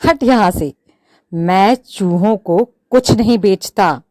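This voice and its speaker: A brusque, dismissive male shopkeeper, as a woman puts him on for a storybook, loud and quick when he shoos the mouse away. The sound is very dry and close. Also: fairly low pitch, dry recording. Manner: brusque shooing, turns fearful and pleading.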